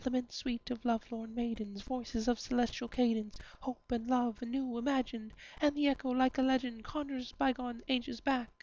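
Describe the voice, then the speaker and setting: Flighty marsh-light spirit, female reader: deferential, lilting verse, highish voice, slightly muffled recording. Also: flat delivery, moderate pace, hardly any pauses, a little mellow, natural voice. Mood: dreamy wonder. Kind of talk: amateur dramatic reading of verse. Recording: slightly dull, lo-fi sound.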